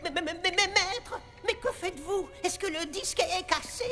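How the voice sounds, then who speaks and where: High, bright, fretful puppet. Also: female voice, moderate pace, soft background music. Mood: nervous. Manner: nervous, stammering, pleading. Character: anxious, pleading puppet servant. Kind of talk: animated film dub.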